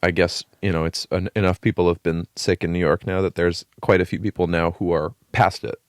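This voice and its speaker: A young man with a deep, low voice talks through a long story in a relaxed, rambling way. He swears now and then and leans on fillers. The sound is dry and close to the mic. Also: fairly quick, dry recording. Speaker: podcast co-host. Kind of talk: casual chat.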